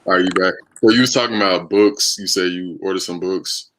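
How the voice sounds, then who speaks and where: An American man with a deep, low voice hosts a live stream. He talks in a casual, conversational way, like someone answering questions from viewers. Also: a little fast, fairly bright tone, slight background noise, poor audio. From United States.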